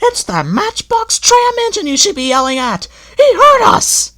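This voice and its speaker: A man's voice: very high voice, huge pitch swings, measured pace, pushed and bright, voice pushed up, exaggerated voice. A man voicing a pair of lazy, fussy old railway coaches who whine and squeal. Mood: indignant. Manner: whiny, squealing, complaining.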